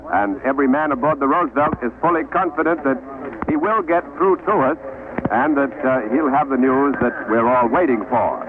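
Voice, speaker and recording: Male actor as expedition news anchor, old-time radio drama, measured and formal, phone-thin vintage audio with clear noise. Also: mid-range voice, natural voice. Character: earnest male radio newsman hosting from a polar ship's radio shack. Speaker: anchor reporter. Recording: noisy, tinny vintage radio recording.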